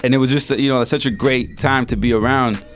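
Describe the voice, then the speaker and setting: A man's voice: mid-range voice, fairly even intonation, a little fast, a bit bright, soft background music. A man from El Salvador, a rapper guest, telling his story in a loose, slangy, laid-back way on a radio show. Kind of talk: casual radio interview.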